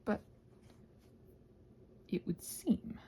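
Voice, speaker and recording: A soft-spoken woman chatting casually in an ASMR craft ramble, often slipping into whispers as she hesitantly thinks aloud. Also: very slow, a little hiss or hum. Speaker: host of a craft ramble channel. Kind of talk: casual rambling chat while painting, ASMR.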